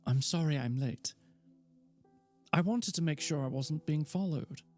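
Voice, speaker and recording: A man's voice: fairly high pitch, unhurried, faint music behind, voice pushed up, natural voice. A man reading aloud and voicing a confident, playful young woman who is an actress. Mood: reassuring. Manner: playful, reassuring.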